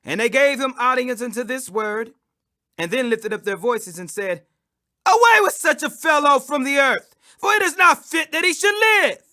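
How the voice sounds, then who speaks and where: High-pitched, emphatic man reading scripture. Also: animated, bright, forceful tone. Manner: emphatic, declamatory, with drawn-out stressed phrases. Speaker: scripture reader. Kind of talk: dramatic scripture reading, preacher-like declamation.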